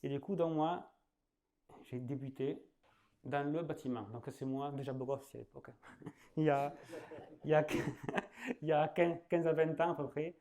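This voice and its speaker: A man in his late thirties with a southwestern French accent, giving a sales masterclass in a relaxed, rambling way, leaning on fillers and laughing at himself. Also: a little high, moderate pace, long pauses. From southwest France. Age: 30s. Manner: chatty, loose, full of fillers, laughs at himself. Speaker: sales trainer. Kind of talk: casual spoken masterclass.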